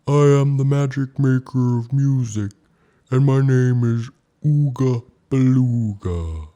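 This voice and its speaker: A man's voice: medium pitch, warm tone, pitched above the performer's own voice, close to an everyday voice. A man doing a sobbing, soulful beluga whale. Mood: mournful. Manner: sobbing, slow and pitiful. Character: sad trapped male beluga whale, soulful singer.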